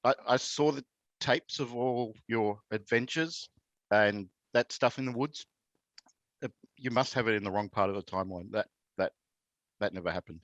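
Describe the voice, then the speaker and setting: A man's voice: fairly low pitch, moderate pace, a bit bright, no echo. A man playing a tabletop roleplaying game, explaining things in character with lots of hesitation. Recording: dry, muffled mic.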